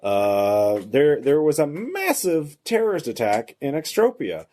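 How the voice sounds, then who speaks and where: A man hosting a tabletop game as game master, narrating off the cuff in a halting, hesitant way. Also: fairly high pitch, big pitch swings, warm tone.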